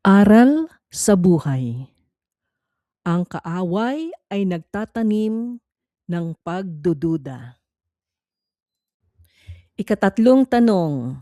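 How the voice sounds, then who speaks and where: Slow, mellow female quiz narrator. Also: mid-range voice, sing-song, no room sound, clean studio sound. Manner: measured, formal, instructional. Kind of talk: scripted read-along narration.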